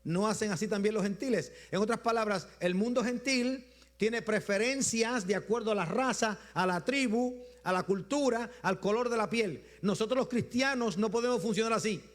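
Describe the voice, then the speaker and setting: High-voiced Puerto Rican preacher. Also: male voice. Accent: Puerto Rican Spanish. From Puerto Rico. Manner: rhythmic repetition, drops low at phrase ends, slows for emphasis. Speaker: bishop preaching. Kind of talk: Sunday sermon.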